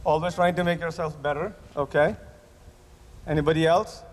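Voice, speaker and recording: A man's voice: on the high side, steady tone, normal speed. A man who is a physician giving an interactive lecture to a camp audience, hesitant, with many restarts, repeating the answers he hears. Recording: roomy hall with long reverb, faint noise.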